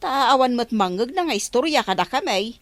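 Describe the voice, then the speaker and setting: A woman's voice: fairly high pitch, animated, normal speed, no room sound, lightly acted. A woman playing a chatty, gossipy female village councilor in a comedy drama. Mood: conversational.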